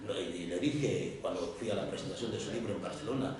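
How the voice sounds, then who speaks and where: Male African writer on a panel, second-language speaker, animated and halting delivery, low voice, distant echoey room sound. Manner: animated, many repeated words and restarts. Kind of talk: round-table talk. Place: lecture room. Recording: echoey hall, distant mic.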